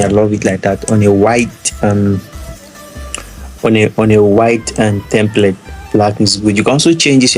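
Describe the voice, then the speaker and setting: A man from Nigeria with a Nigerian accent, casually walking through a screen-share tutorial and often repeating his words. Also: lowish voice. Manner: rambling, repeats words, chuckles. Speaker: trading tutor.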